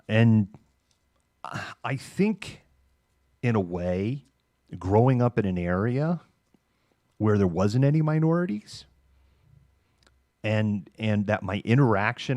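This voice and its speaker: A middle-aged American man with a very low voice talks informally and candidly, feeling his way through sentences as he reminisces. The sound is close and dry, like a host chatting on a livestream. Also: a little mellow, no room sound. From Massachusetts, United States. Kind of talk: casual monologue of personal reminiscence.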